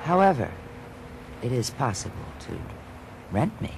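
A man's voice: medium pitch, very animated, measured pace, creaky, noisy background. A man voicing a sultry, worldly femme fatale in a film-noir radio parody, teasing and tough.

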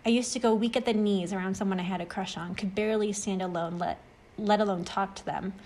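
Reassuring friend for short-story audio, played by a woman: encouraging, explanatory, mid-pitched. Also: fairly even intonation, fast, darkish tone, natural voice. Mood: earnest. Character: reassuring, talkative friend who overcame extreme anxiety. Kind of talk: acted short-story dialogue reading.

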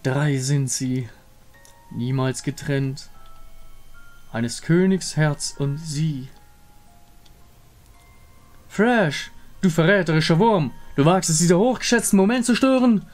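Ein Mann spricht einen hochmütigen, bedrohlichen untoten König in einem Fantasyspiel, herrisch und pathetisch. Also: male voice, on the high side, slow, pauses often, soft background music. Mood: brooding. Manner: grandiose, imperious. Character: haughty menacing undead king, grandiose and lovesick.

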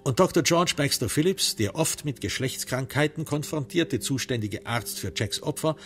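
A man playing the wry, sombre narrator of a crime radio drama, telling it in measured literary prose with dramatic emphasis. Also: mid-range voice, animated, a bit bright, soft background music, close to an everyday voice. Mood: wry, analytical.

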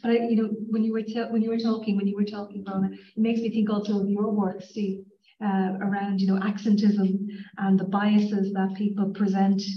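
Dublin woman panelist, level even delivery, heard from across an echoey conference room. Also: mid-range voice, a little fast. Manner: earnest, many "you know" fillers. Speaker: university panelist. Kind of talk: panel discussion. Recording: echoey room mic from far off, dull sound.

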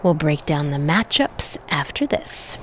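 A woman's voice: low-pitched, big pitch swings, measured pace. A woman playing an upbeat podcast host in a video game, keeping the chat on track. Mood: inquisitive.

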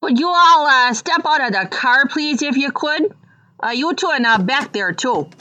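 A courteous small-town policewoman, acted by a woman speaks hesitantly. Her voice is bright, over faint noise, in a radio comedy. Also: sits high, animated, normal speed, highs cut off. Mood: polite, tentative.

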